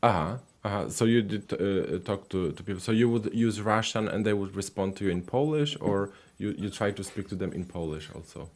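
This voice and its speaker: A man's voice: lowish voice, a little fast, few pauses. A man hosting a live language call-in stream, chatting casually with callers with lots of fillers and repeats. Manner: relaxed, many fillers and repeated words.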